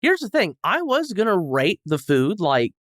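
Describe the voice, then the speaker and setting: A man's voice: high-pitched, wildly swooping pitch, moderate pace, fairly bright tone, no echo, clean studio sound. A man chatting casually and comically as a podcast guest, rambling and self-deprecating. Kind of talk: casual comedic chat.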